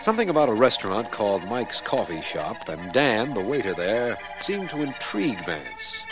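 A man playing a brisk, businesslike district attorney in a 1950s radio drama, formal in both narration and dialogue. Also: lowish voice, very animated, over music. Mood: formal, narrating.

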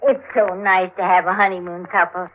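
A woman's voice: lowish voice, fairly even intonation, normal speed, close to an everyday voice. An actress playing a chatty, motherly, intrusive neighbor, genteel and insistent, in 1940s radio drama style. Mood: sweetly fussing. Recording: tinny, narrow-band 1940s radio recording.

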